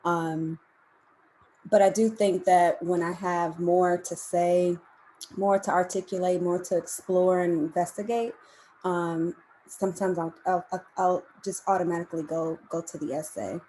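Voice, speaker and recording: A woman poet talking casually and reflectively on a panel, thinking aloud in a halting, conversational way. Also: on the low side, fairly even intonation, dark tone. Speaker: poet and essayist on a reading panel. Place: remote video call. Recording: noisy video-call audio.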